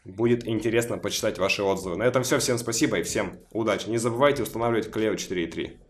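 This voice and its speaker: A man casually talking viewers step by step through installing a game mod, a little hoarse from a cough. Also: lowish voice, very fast. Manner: chatty, talks viewers through each step. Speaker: gaming video creator. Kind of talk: casual screen-recorded mod tutorial.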